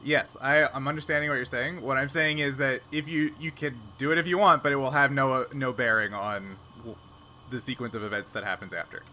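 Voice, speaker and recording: A man's voice: mid-range voice, fairly even intonation, fairly quick, pushed and bright. A man narrating casually as game master of a tabletop roleplaying game, in a conversational way. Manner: breaks into laughter often, conversational. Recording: clear background noise and ambience.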